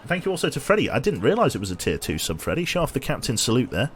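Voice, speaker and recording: A man streaming a game with casual commentary, a little tired, his voice slightly nasal and a bit raspy, chatty as he thinks aloud and thanks viewers. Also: mid-range voice, wildly swooping pitch, rapid-fire, slight background noise.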